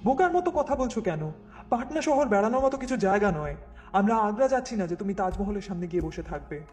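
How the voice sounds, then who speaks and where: A man acting a high-voiced, brusque, teasing businessman. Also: lively intonation, quick pace, a little mellow, soft background music. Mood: irritated, brusque. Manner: familiar, chatty, brusque. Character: jovial, teasing, bossy male business partner and husband. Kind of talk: audiobook dramatized dialogue.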